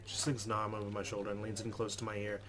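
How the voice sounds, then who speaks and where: A man's voice: on the low side, completely flat delivery, fast talker, fairly bright tone. A man hosting a let's play, reading game text aloud dramatically and adding wry asides. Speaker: gaming host. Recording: faint background noise.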